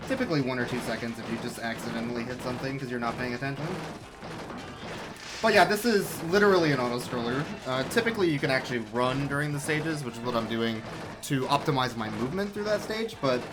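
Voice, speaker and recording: A man's voice: medium pitch, quick pace, background noise. Casual speedrunner explaining his run. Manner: relaxed, explanatory, with sudden excited outbursts. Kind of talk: casual live-stream commentary. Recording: game music playing behind.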